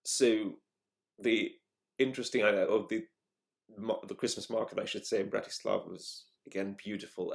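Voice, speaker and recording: A man hosting his own show, telling a travel story in a measured, halting way as he searches for words. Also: lowish voice, creaky. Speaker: podcast host. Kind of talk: solo travel monologue.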